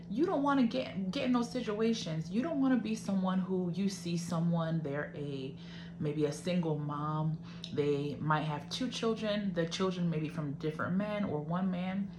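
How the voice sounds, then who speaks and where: Woman, lowish pitch, earnest instructive advice-giver, direct and informal; echoey recording with clear background hum. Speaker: advice speaker. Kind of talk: informal motivational advice talk. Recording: roomy echo, clear hum and noise.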